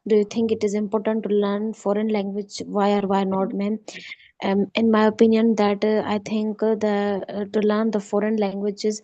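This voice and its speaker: Sindhi-speaking woman, polite student, flat mellow voice, dry sound. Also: medium pitch, moderate pace, no room sound, lo-fi sound. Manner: polite, often says "ma'am", fillers and restarts. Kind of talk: online class speaking practice.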